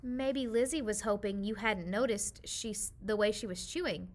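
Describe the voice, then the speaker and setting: A woman voicing a gentle, reasonable mother in a children's storybook, speaking in a soothing way. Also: medium pitch, moderate pace, close to an everyday voice.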